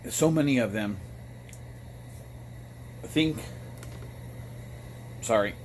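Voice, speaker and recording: An American man talking in a casual, rambling monologue, with a halting delivery that often pauses mid-thought. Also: medium pitch. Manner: halting, frequent throat clearing between phrases. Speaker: amateur radio vlogger. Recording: noticeable background noise.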